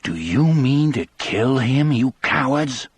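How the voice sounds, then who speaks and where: A man's voice: medium pitch, expressive, slow pace, husky, no echo, pitched above the performer's own voice. A man voicing a fierce, determined and smart wife in an audiobook, emphatic and indignant. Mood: furious, accusing.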